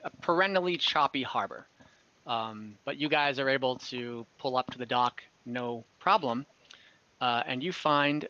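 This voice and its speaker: A young man narrating as game master over a video call, relaxed and rambling with many fillers. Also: medium pitch. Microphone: headset on a video call. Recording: dull lo-fi video call audio.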